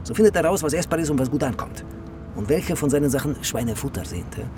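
A man's voice: on the high side, lively intonation, fast talker, music in the background, lightly acted. An actor playing a slick, rambling wheeler-dealer in a radio crime drama, peppering his talk with Spanish interjections. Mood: scheming, excited.

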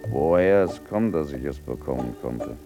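An actor playing a sardonic, fatalistic, ailing seafarer in a radio drama, with a bitter, world-weary way of talking. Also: male voice, extremely low pitch, lively intonation, normal speed, music in the background. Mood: reflective, weary. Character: sardonic, fatalistic leprosy-stricken seafaring outcast man. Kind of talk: German radio drama dialogue.

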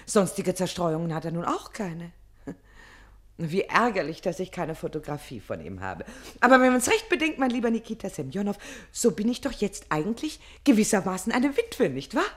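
Twittering, coquettish young wife, very lively. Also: female voice, fairly low pitch. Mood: coyly pitying. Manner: twittering, coquettish, quickly wandering. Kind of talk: German radio play acting.

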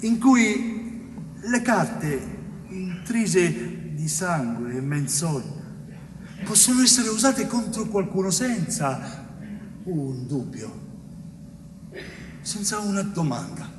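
A weary innocent man, delivering a theatrical monologue. He speaks slowly, with long pauses and a fairly high pitch. The sound is distant and reverberant, like a stage. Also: animated. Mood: bitter, reflective. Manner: slow, halting, dramatic delivery with long pauses. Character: weary, innocent Southern Italian man, wrongly convicted, telling his story. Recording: distant, echoey theatre stage, faint noise.

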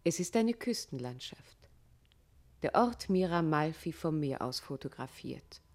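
Scene-setting narrator, deep for a woman, sober, measured, radio drama. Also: lively intonation. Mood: descriptive. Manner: measured, descriptive. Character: sober female narrator, describing scenes in detail. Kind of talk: German radio play narration.

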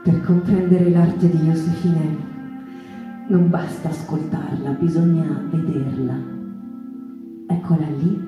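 An actress playing a reverent, intense narrator in a lyrical theatrical monologue, measured and pausing. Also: female voice, low voice, fairly even intonation, lo-fi sound, music in the background. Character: female mouse-folk narrator, hushed, reverent, intense. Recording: very echoey theatre space.

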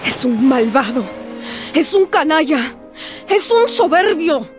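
An actress playing a spirited, guarded young gentlewoman in a radio drama, polite but pointed and direct. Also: high-pitched, sing-song, slow, soft background music, full character voice. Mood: furious.

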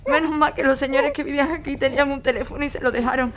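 A woman's voice: high voice, steady tone, racing, lightly acted. An actress playing a hungry, desperate young mother in a wartime audio drama, pleading and tearful. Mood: weary pleading. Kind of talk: emotional audio drama acting. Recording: clear background noise, clicks.

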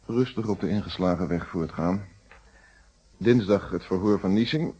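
An actor playing a calm, principled middle-aged police inspector in a Dutch radio detective play, speaking in formal, articulate explanations. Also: male voice, low voice, normal speed, slightly rough. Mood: businesslike. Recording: dull, muffled old radio recording.

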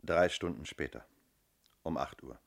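Very deep-voiced, shrewd police inspector. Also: male voice, a little slow, creaky, full character voice. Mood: certain, explanatory. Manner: methodical, firm, explanatory. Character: shrewd, self-assured male police inspector. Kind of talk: German radio crime drama acting.

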